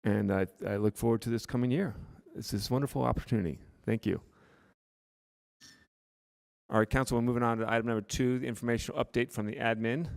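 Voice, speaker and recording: A man chairing a city council meeting, running votes and nominations in a measured, procedural way. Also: mid-range voice, steady tone, creaky.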